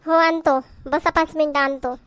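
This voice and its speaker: A woman's voice: high-pitched, steady tone, normal speed, no echo. A woman playing an exasperated, hard-working village wife in a comedy cartoon, complaining sharply in a Varhadi Marathi accent. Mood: matter-of-fact. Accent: Varhadi Marathi from Vidarbha. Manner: scolding, complaining, sharp-tongued.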